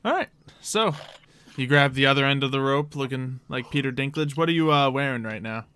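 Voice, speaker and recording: A man runs a tabletop fantasy game as game master. His voice is bright and clean, of middling pitch, and he narrates conversationally, feeling his way through scenes. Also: fairly quick, clean tone.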